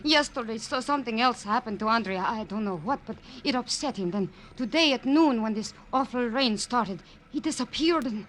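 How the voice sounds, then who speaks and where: An actress playing an anxious, melodramatic prima ballerina in 1940s radio drama style, halting and repeating words in distress. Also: female voice, on the high side, expressive, a bit bright. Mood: distraught. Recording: noisy old radio recording.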